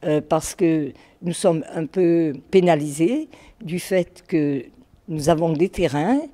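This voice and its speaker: A woman with a very low, soft-edged mellow voice speaks as a local official in a news interview. She chooses formal words and pauses hesitantly while putting her point together.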